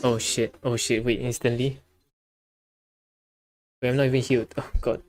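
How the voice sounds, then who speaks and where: A man comments casually on his live gameplay. His voice sits at an average pitch. Faint noise from the game runs behind him. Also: little pitch movement, moderate pace, a little mellow. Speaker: game streamer.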